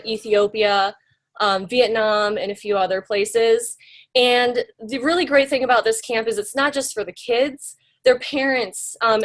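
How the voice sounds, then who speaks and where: A young woman telling her story in an interview, chatty and full of fillers and rhetorical questions. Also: on the high side. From United States. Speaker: interviewee, graphic designer.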